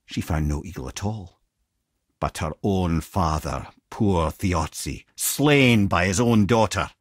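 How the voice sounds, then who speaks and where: A man's voice: sits low, expressive, takes it slowly, no echo, studio quality, full character voice. A man voicing a wise, witty old talking head in a video game, with a Scottish accent and an eloquent, wry storytelling manner. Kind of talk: game character dialogue and storytelling.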